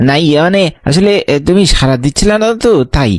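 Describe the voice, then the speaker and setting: A man's voice: on the high side, fast, no room sound, lightly acted. Earnest young younger brother acted by a man, close and dry. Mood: hesitant, pleading. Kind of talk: Bengali horror audio story acting.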